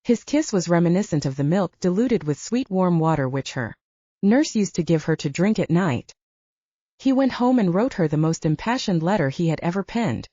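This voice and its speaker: A woman's voice: fairly low pitch, very animated, moderate pace, warm tone, dry recording, muffled recording. A woman reading literary fiction as a scripted audiobook narration, moving between story and dialogue.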